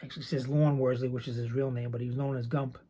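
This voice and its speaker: A soft-spoken man with a raspy voice, chatting casually and rambling a bit while showing his collection. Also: medium pitch, level tone, quick pace. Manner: soft-spoken, not loud; rambling, hesitant with many ums. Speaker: card collecting channel host. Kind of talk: casual show-and-tell chat.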